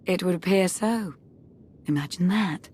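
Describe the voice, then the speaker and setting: A woman playing a composed, determined intelligence advisor in a sci-fi game, measured, earnest and formal. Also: lowish voice, a little mellow, a little hiss or hum, natural voice. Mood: wry resolve. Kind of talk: video game cutscene acting.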